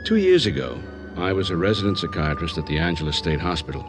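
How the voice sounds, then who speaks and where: A very deep male voice, playing a calm psychiatrist in a radio drama. He speaks in measured, clinical phrases, with music clearly playing behind him. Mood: composed, clinical. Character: composed male psychiatrist recounting a strange case. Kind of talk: radio drama narration and acting.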